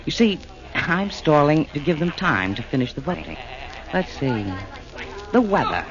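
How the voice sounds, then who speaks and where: An actor playing a chummy, wisecracking announcer who narrates from the underworld in rhymes, in a 1940s radio comedy. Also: male voice, on the high side, very animated, a little slow. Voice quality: jokey, chummy, rhyming. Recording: muffled 1940s radio broadcast.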